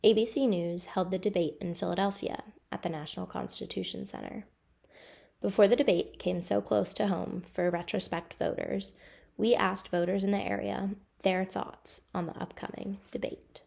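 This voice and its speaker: Gravelly-voiced woman reporter. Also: mid-range voice, steady tone, normal speed, a little mellow. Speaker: newspaper reporter. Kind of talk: news narration and interview questions.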